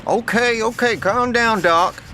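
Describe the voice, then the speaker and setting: An actor playing a plainspoken, folksy truck driver, wry and placating, in an audio drama. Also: male voice, extremely high pitch, a little slow, bright, forceful tone, exaggerated voice. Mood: wary, wry. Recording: noisy outdoor vehicle ambience.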